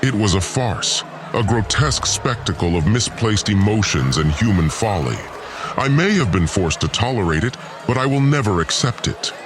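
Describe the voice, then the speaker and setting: A man's voice: lowish voice, lively intonation, normal speed, background noise, lightly acted. A man playing a vengeful, disdainful god lord in an audio drama, delivering a formal, pompous and menacing villain monologue. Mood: menacing, bitter. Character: vengeful, disdainful male god lord plotting against humans.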